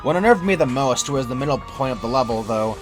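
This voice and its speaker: Man narrating a creepypasta over loud music. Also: mid-range voice, animated, fast. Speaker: narrator reading a horror story. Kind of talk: scripted first-person creepypasta narration. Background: background music.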